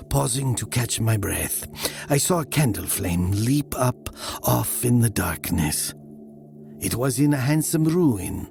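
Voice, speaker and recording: An actor playing a brooding, jealous young man, narrating his past in a slow, hushed audio drama style. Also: lowish voice, gravelly, full character voice. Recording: music behind the voice.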